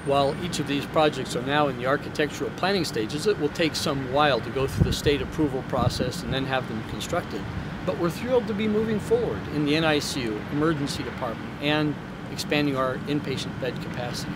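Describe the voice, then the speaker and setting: A man giving a prepared hospital newsreel update in a formal, measured, deliberate way, like an executive addressing the community. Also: mid-range voice. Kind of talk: prepared newsreel presentation to camera. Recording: clear outdoor traffic and ambient noise.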